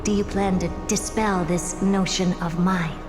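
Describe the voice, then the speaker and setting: Woman playing a slow, haughty, teasing lady scholar. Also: fairly low pitch, steady tone, natural voice. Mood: cool defiance. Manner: arch, teasing, self-assured. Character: poised, haughty long-lived woman scholar, coolly curious. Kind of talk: scripted video game voice acting. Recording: long reverb, clear background music.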